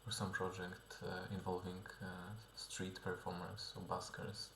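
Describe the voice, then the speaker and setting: A man with a very low, monotone voice and a gravelly edge talks haltingly, full of fillers and restarts. He often sinks into a half-whisper. The room sounds echoey, with steady noise behind him. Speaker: vlogger and musician. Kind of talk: casual spoken diary.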